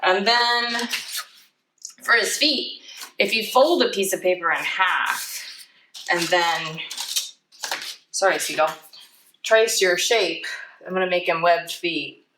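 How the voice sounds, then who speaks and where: A woman's voice: lowish voice, slow, pauses often. A woman giving a casual craft demonstration for children, chatting playfully with self-deprecating asides. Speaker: children's library storytime presenter. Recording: roomy, distant mic, clear background noise.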